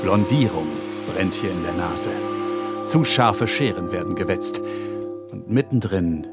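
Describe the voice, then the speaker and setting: An actor playing a solemn, theatrical narrator of a retro crime radio play, speaking formally and grandly. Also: male voice, low-pitched, expressive. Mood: solemn, mysterious. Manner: grandiose, formal, deliberate showman delivery. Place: radio drama studio. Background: music.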